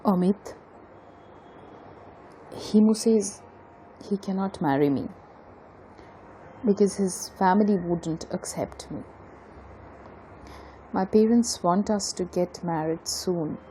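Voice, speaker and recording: A woman's voice: mid-range voice, takes it slowly, pauses often, dark tone, a little hiss or hum, lightly acted, rough recording. A woman with an Indian Bengali accent playing a lovelorn young woman confiding in her best friend in an audio drama. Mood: troubled.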